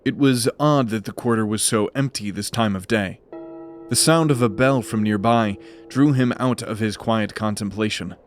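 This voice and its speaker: A man narrating a scripted fantasy audio drama in a measured, dramatic storytelling manner. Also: lowish voice, soft background music.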